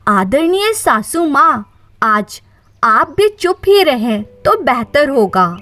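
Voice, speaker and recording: Woman acting defiant young wife, high-pitched. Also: big pitch swings, pushed and bright, dry recording, full character voice. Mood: coldly defiant. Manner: deliberate, cutting, emphatic. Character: wronged young wife turned bold and defiant.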